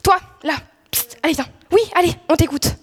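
Woman as an exasperated, high-voiced director. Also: normal speed. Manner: impatient, colloquial. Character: bossy, exasperated director cutting off actors. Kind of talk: audio drama acting.

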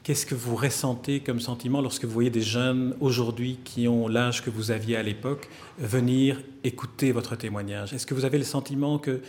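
A man's voice: mid-range voice, fairly even intonation, moderate pace, hardly any pauses, darkish tone. Man asking long formal interview questions. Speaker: journalist interviewer.

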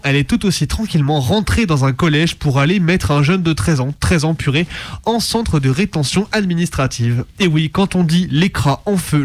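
A man with a slightly high voice hosts a radio show in a dry-sounding setting. He delivers opinionated news commentary in slangy, everyday words. His manner is sarcastic and militant. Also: no echo.

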